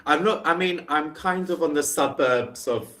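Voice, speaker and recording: A man's voice: a little high, normal speed, bright, forceful tone. A man with a London accent, an online English tutor chatting on a video call and asking encouraging questions. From England. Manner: friendly, encouraging, asks many questions. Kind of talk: casual video call chat. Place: home in the UK.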